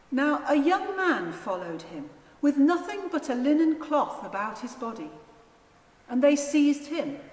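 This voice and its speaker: A woman narrating a dramatised scripture reading in a solemn, deliberate manner. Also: high-pitched, a little mellow. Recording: echoey church, long reverb, faint noise.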